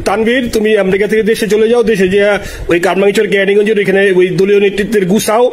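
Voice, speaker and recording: High-pitched, fast-talking Bangladeshi man on phone. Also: flat delivery. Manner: deferential, eager, repetitive. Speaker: party supporter on a call to the leader. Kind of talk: informal phone conversation. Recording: slightly noisy phone call recording.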